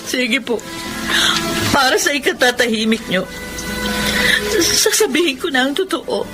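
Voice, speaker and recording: An actress playing a devoted but defiant grown daughter, anguished and emotional, in a Filipino radio drama. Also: female voice, high voice, expressive, a little slow, over loud music. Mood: anguished, resolute. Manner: emotional, pleading.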